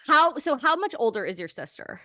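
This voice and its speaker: A young woman co-hosting a podcast in casual chat, telling stories in a candid, animated way with plenty of swearing. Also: high voice, fairly quick, dry recording, clean studio sound. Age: young adult. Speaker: podcast co-host. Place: small indoor room, remote video recording. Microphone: podcast microphone on boom arm, close up.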